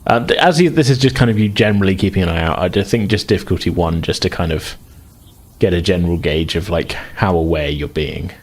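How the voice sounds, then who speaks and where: A man's voice: low voice, a little fast. A man running a tabletop game as game master, explaining things in a hesitant, conversational way. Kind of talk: improvised tabletop game narration.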